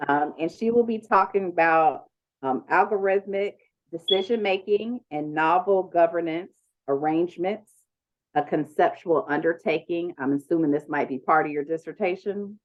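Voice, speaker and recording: A woman with a deep voice introduces speakers on an online conference panel. She talks in a loose, chatty way, full of ums. The audio is dull and lo-fi, as if it came through a video call. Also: normal speed. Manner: relaxed, chatty, with many ums. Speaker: panel moderator.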